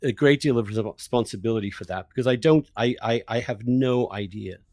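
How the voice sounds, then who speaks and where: A man's voice: mid-range voice, a little fast, darkish tone, dry recording. A man hosting an opinionated political discussion, arguing his points emphatically. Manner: emphatic, argumentative. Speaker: podcast host, interviewer.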